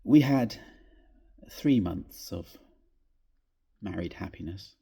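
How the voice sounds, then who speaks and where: A man with a husky voice reading a story aloud in a measured, expressive, theatrical way. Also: sits low. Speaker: reader of a classic ghost story.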